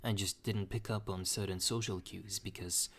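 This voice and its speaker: A young man talking slowly and hesitantly in a personal confessional monologue, with many restarts. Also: sits low, fairly even intonation. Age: young adult. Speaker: vlogger.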